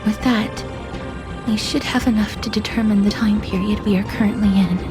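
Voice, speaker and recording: An actress playing a composed, courteous, observant young traveler in a video game, with measured formal speech. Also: female voice, mid-range voice, steady tone, music in the background, close to an everyday voice. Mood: reflective. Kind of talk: video game character acting.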